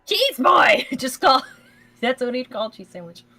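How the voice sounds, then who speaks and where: A woman's voice: high voice, big pitch swings, a little slow, pushed and bright, dry recording. A woman co-hosting a livestream in casual banter, blunt, sweary and emphatic. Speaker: livestream co-host.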